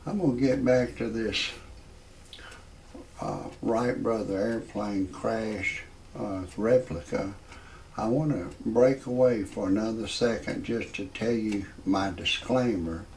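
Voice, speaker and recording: Older guy in his 60s, gravelly grumbly comedy rant, halting, some background noise on a home recording. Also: fairly low pitch, darkish tone. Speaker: host of a parody report show. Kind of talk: rambling comedic monologue to camera.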